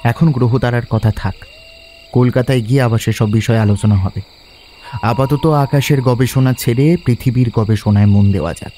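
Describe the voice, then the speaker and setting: An actor playing a resolute, quick-witted schoolboy adventurer in an audio drama, speaking in a decisive, earnest way. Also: male voice, medium pitch, dark tone, a little hiss or hum, lightly acted. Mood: explaining. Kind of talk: Bengali audio drama acting.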